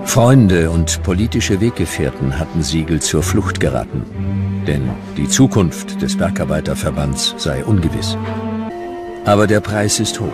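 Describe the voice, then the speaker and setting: A man's voice: low voice, expressive, measured pace. A man narrating a historical documentary from a script. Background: music.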